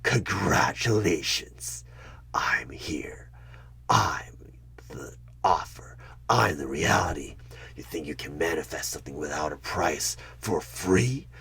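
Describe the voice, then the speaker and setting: A man playing a sinister devilish tempter in a horror ASMR roleplay, slow and coaxing with a breathy, husky half-whisper and menace. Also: rumbling low, expressive, heavily acted. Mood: insistent, menacing. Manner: slow, menacing, coaxing, with sudden loud bursts.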